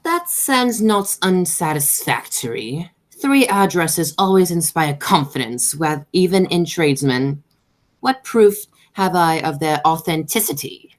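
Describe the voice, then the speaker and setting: A woman's voice: on the low side, lively intonation, a little slow. A woman playing a haughty, stern aristocratic mother and aunt in an amateur radio play, declaiming with snobbish authority.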